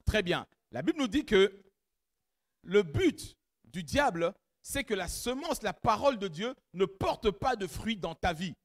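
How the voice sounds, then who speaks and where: Animated high-pitched preaching pastor. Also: male voice, measured pace. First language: not French; has another mother tongue. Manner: animated preaching, rising to loud emphatic peaks, addresses the congregation directly. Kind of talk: sermon. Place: church.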